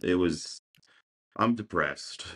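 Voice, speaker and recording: A man's voice: low-pitched, animated, slow, fairly bright tone. A man co-hosting a casual sports podcast, delivering punchy catchphrases like a hype man. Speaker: podcast co-host.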